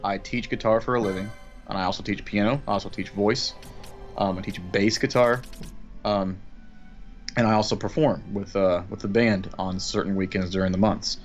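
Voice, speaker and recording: Brief: relaxed man, lowish voice, casual gaming chat, music underneath. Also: moderate pace. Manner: easygoing, chatty, filler-heavy. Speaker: co-op gaming guest. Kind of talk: casual chat over gameplay. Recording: music playing behind.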